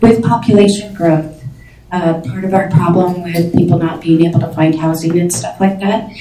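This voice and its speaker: A soft-spoken woman who runs a short-term rental, giving flustered public comment with many fillers at a county meeting. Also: lowish voice, fairly even intonation, moderate pace. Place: county board meeting room. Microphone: seated, away from the microphone. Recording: distant, echoey room audio with faint noise.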